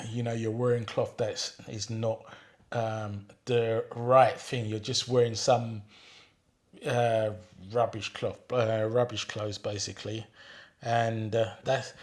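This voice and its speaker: An older man in his sixties, telling his life story at an easy pace. He hesitates often, filling pauses with ums and going back to rephrase. His voice sits in an ordinary middle register for a man. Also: medium pitch. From England. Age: 60s. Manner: unhurried, hesitant with frequent ums and restarts. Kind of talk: reminiscing monologue reading out questions.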